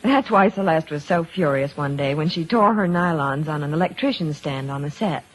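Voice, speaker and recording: A woman's voice: low voice, normal speed, poor audio. An American woman presenting a scripted 1940s radio commercial in a chatty, gossipy way.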